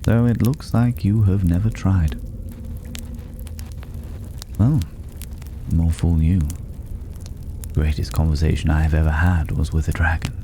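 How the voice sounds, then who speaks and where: Regal, wry male drifter for fantasy ASMR roleplay, acted by a man: extremely low, slow, condescending, intimate, over audible background noise. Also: fairly even intonation, mellow. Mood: knowing, wry. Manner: unhurried, wry, condescending, with drawn-out pauses. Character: regal, teasing male drifter, secretly a dragon.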